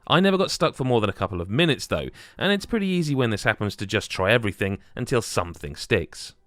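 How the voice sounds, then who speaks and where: A man's voice: on the low side, sing-song, fast talker, fairly bright tone, no room sound. Man narrating a scripted review, dry recording. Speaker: video game reviewer.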